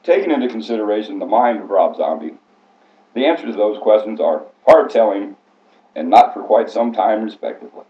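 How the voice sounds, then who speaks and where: A man's voice: fairly low pitch, animated, a little fast. A man reading a prepared biographical speech aloud in a formal, scripted way, stumbling now and then. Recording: echoey room, slightly muffled sound.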